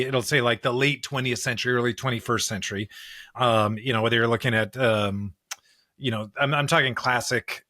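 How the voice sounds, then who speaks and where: A man with a bright, forward voice in a dry, close recording. He talks like a podcast co-host in discussion, thinking aloud and checking his points with frequent tag questions. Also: mid-range voice, no room sound. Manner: animated explainer, often checking with "right?". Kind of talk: conversational discussion of scripture and pop culture.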